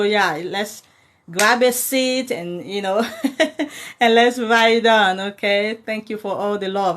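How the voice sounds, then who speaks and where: A woman's voice: mid-range voice, a little hiss or hum. Chatty woman from Cameroon. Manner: warm, chatty, thanks viewers by name, many fillers. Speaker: personal finance content creator. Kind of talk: casual livestream talk to viewers.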